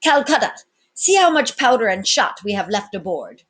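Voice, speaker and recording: A woman voicing a commanding, wry male sea captain in a storybook, formal and brisk in manner. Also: mid-range voice, big pitch swings, a bit bright, pitched above the performer's own voice.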